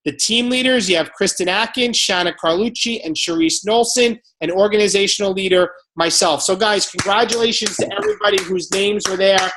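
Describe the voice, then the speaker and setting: A man's voice: sits high, a little fast, hardly any pauses, a bit bright, poor audio. Host type: man running a team call over video, peppy, motivational. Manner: upbeat, motivational. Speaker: team leader hosting a call.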